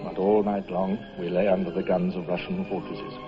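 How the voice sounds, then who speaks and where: An actor playing a dry, resolute British naval commodore in an old-time radio drama, formal, clipped and authoritative. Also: male voice, low-pitched, level tone, normal speed, highs cut off, music in the background, close to an everyday voice. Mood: reflective narration. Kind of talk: old-time radio drama acting and first-person narration.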